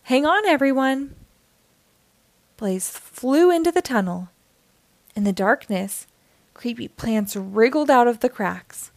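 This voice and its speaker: A woman reads a children's story aloud. Her voice sits fairly high and she takes her time, phrasing each part expressively. The sound is dry and close. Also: animated, darkish tone, no room sound. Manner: measured, clearly phrased. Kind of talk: expressive read-aloud narration.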